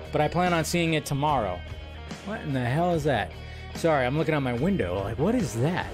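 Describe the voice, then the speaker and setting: A man with a fairly high-pitched voice hosts a casual livestream. He talks informally and loosely to his viewers, rambling and repeating phrases with the odd mild swear word. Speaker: livestream host. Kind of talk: casual livestream chat, greeting viewers and reading comments.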